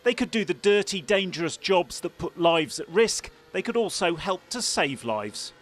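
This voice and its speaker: A man's voice: high voice, animated, moderate pace. A man narrating a scripted television news report.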